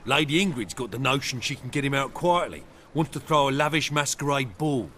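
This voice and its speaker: A man's voice: mid-range voice, animated, brisk, a bit bright, slight background noise, natural voice. An actor playing a meek, deferential valet to a noble lady in a video game, with a servile, folksy way of talking. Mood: earnest, worried. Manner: servile, folksy, dropped words. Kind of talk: video game dialogue acting.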